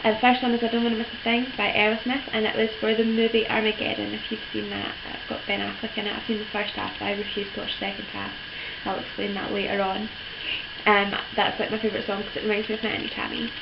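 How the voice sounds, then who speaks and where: A teenage girl, hoarse from a cold, rambling through a casual vlog with lots of ums and likes. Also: mid-range voice, quick pace. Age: teens. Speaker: vlogger. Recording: dull lo-fi with steady background noise.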